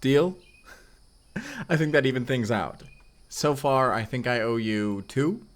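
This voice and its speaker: A man's voice: mid-range voice, takes it slowly, natural voice. A man acting a mysterious vampire slayer, earnest and wryly awkward, in an intimate audio roleplay with casual, confiding delivery. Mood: amused, teasing. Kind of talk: cinematic audio roleplay acting, intimate one-sided dialogue.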